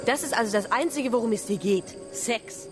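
A woman's voice: on the high side, moderate pace, music in the background, lightly acted. A woman acting in a German radio drama as a wary, self-possessed space traveller with a dry, pointed manner. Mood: defiant, dry.

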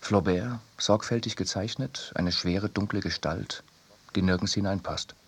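A man narrating a literary radio piece in measured, clipped phrases. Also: low voice, slightly rough.

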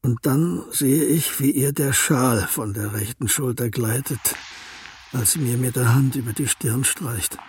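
Slow, husky, melancholy man. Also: mid-range voice, darkish tone, no echo. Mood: pensive, subdued. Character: melancholy man recalling his lost Prague childhood. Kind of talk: literary radio drama narration.

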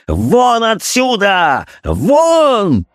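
A man playing an enraged, half-mad gentleman doctor in an audiobook story, shouting imperiously in fury. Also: high-pitched, huge pitch swings, very slow, voice pushed up, exaggerated voice. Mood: frenzied rage. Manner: furious shouting.

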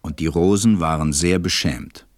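A man narrating a scripted story for a radio play in a measured, calm storytelling manner. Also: deep voice.

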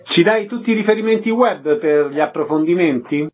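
A man with a slightly high voice hosts a radio interview show. He announces names and dates and puts short questions to guests. The audio is a little dull. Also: normal speed.

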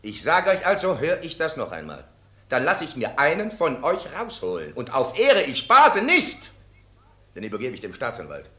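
An actor in a German radio play, playing a domineering, self-righteous mill owner who blusters and commands. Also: male voice, on the high side, wildly swooping pitch, moderate pace, bright, forceful tone, heavily acted. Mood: angry, threatening.

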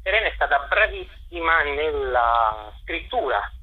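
A man being interviewed, speaking in a discursive, articulate way with hesitant pauses, like a guest explaining his work. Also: a little high, very animated, husky. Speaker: stage director and actor, interview guest. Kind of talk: interview, promoting a theatre show. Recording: muffled lo-fi phone-style radio line.

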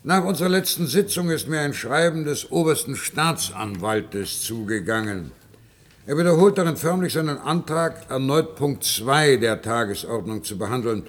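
A man's voice: mid-range voice, animated, natural voice. A man plays a committee chairman in a radio drama. He speaks with stiff formality, hesitating and stumbling over his phrases as he keeps order. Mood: officious, halting. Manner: halting, officious, stammering. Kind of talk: German radio play acting.

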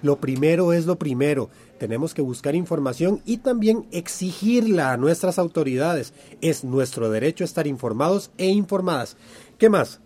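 Radio drama: animated, higher-voiced male community teacher, didactic and encouraging, Central American accent, soft-edged tone. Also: moderate pace. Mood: affirming, explaining. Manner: encouraging, affirming, didactic, questioning. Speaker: workshop facilitator. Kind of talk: radio drama acting as a lively classroom lesson.

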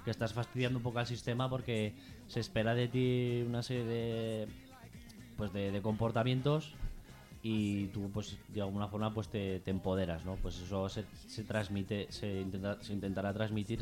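A man's voice: lowish voice, flat delivery, normal speed, a little mellow, faint music behind. A man with a Peninsular Spanish accent speaking informally as an activist guest in a radio interview, hesitating, rambling and repeating himself.

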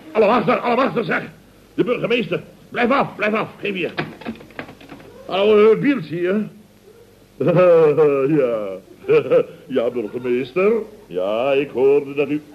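An actor playing a pompous, excitable, sentimental middle-aged contractor boss in radio comedy, blustering, stammering and repeating himself. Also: male voice, on the high side, slight background noise. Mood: excited bluster. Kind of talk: 1970s Dutch radio comedy acting. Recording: dull vintage radio recording.

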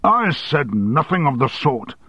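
A man playing a blustering, domineering, short-tempered male boss who shouts threats, on a dry, slightly dull recording. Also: a little high, big pitch swings, unhurried, slightly rough, dry recording, voice pushed up, heavily acted. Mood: angry.

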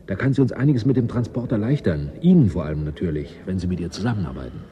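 An actor playing a shrewd, easygoing settler and schemer in a 1980s German radio drama, persuasive and cynical. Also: male voice, sits low, lively intonation, fast, a crowd in the background. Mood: insistent.

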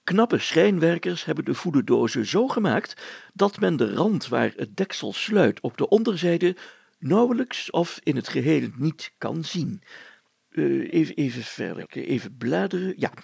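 A man's voice: fairly high pitch, gravelly, a little mellow, slightly rough, dry recording, full character voice. A man voicing a pompous, easily flustered older mayor in a children's story, stammering and over-articulating as he goes. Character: fussy, flustered, pompous older male mayor reading aloud. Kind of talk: children's audio story character voice.

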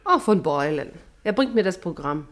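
An actress playing a lazy, scornful, sensual wife in a German radio play, languid and demanding. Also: female voice, fairly low pitch, big pitch swings, normal speed, fairly bright tone. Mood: mocking.

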